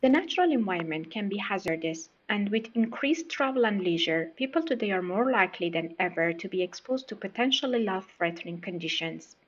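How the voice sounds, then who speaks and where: A slightly muffled, lo-fi recording of a woman reading a prepared passage aloud. Her voice sits at a medium pitch, in a scripted practice style. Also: expressive, a little fast, hardly any pauses. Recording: dull, lo-fi audio.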